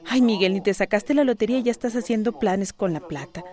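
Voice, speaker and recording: A woman as a mellow, doubtful housewife. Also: medium pitch, fast, close to an everyday voice. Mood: doubtful, hopeful. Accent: Costa Rican. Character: gentle, doubtful, long-suffering housewife and mother. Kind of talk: radio soap acting.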